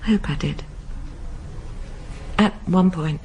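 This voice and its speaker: A woman's voice: lowish voice, takes it slowly, dark tone, a little hiss or hum. An actress with a British accent playing a shaken, guarded but tender woman, hesitant and subdued, in a radio drama. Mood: guarded, sorrowful.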